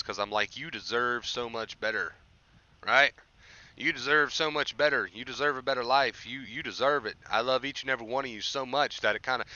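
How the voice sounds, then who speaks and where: A man's voice: medium pitch, pushed and bright, clean tone, no echo, dull audio. A young man hosting a motivational livestream, speaking emphatically and informally with lots of repetition, his voice a little tired from overuse. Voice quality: voice tiring from overuse. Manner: emphatic, repetitive, often checks with "right?". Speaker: livestream host, anxiety recovery coach. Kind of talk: motivational rant to live chat.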